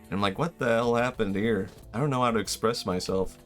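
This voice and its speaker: A man's voice: fairly low pitch, fairly quick, a bit bright, soft background music. A young man from Sweden, a native Swedish speaker, chatting casually and rambling with self-deprecating laughs while streaming a game. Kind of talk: casual live-stream chat while gaming.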